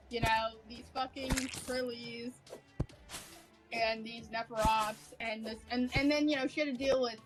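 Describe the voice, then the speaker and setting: A woman's voice: a little high, low-quality recording. A woman co-hosting a gameplay video, telling stories animatedly and swearing casually. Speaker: co-host and co-author. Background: game music.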